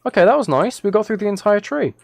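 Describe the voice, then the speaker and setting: A man's voice: sits high, expressive, quick pace, gravelly, darkish tone. Man streamer rambling casually. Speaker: live streamer. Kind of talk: casual live stream chat while gaming.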